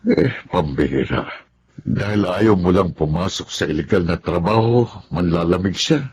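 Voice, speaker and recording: Casting: man to play protective older Filipino dad in serial drama; very low voice, slight background noise. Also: moderate pace, a little mellow, lightly acted. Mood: indignant, concerned. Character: older, concerned, indignant parent of the husband.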